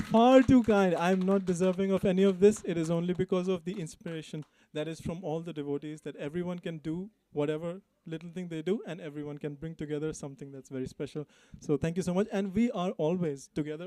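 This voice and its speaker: A man's voice: on the high side, dark tone, dry recording. A man giving a short thank-you speech to a congregation in a humble, deferential way. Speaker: devotee performer giving thanks.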